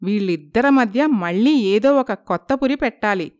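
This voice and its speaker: A woman voicing a sly, spiteful village gossip who schemes and eggs others on in an animated moral story. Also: a little high, moderate pace, lightly acted. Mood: scheming, puzzled.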